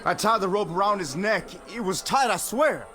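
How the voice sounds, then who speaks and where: A high-pitched, jittery frontier hangman. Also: animated, moderate pace, pushed and bright, faint music behind. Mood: panicked disbelief. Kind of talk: radio drama acting.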